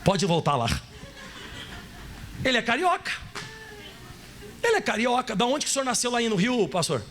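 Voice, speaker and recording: A pastor from southern Brazil with a Gaúcho accent, preaching in an animated, playful way and putting questions to the congregation. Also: male voice, extremely high pitch, normal speed, a little hiss or hum. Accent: Gaúcho Brazilian Portuguese. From Rio Grande do Sul, Brazil. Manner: animated, playful, acts out voices and asks the audience questions. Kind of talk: sermon.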